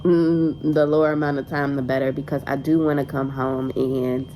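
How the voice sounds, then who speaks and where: A woman in her late twenties speaks in a low, nasal, congested voice, plainly fighting a cold. She chats loosely and rambles to her viewers, dropping "y'all" as she goes. A faint background hiss runs under the recording. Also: flat delivery, moderate pace, dark tone, low-quality recording. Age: 20s. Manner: chatty, rambling, says y'all. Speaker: vlogger. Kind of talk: casual vlog talk to camera. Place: in a car on the way to errands.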